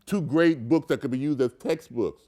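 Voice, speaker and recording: A man's voice: medium pitch, moderate pace, warm tone. A man co-hosting a community talk show, speaking earnestly and often restarting as he searches for words. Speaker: co-host. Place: local TV studio.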